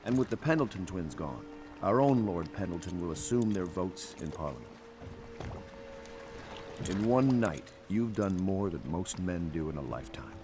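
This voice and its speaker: An actor playing a grave, commanding leader of conspirators in a video game, with an authoritative, measured delivery. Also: male voice, low-pitched, animated, long reverb tail, over music. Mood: approving. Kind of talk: video game character acting.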